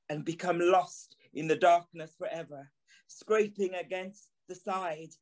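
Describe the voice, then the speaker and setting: A man's voice: sits high, poor audio. A man playing a haunted, remorseful journalist, narrating an audio play with brooding, theatrical phrasing. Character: haunted, remorseful journalist recalling an eerie encounter. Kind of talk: dramatic first-person audio play narration.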